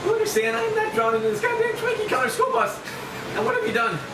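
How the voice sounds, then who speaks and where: A woman's voice: very high voice, sing-song, fast, creaky, distant mic, full character voice. A woman voicing a panicked, angry, bossy man in a screenplay table read, frantic and combative. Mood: angry, demanding. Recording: echoey room, off-mic, loud background noise.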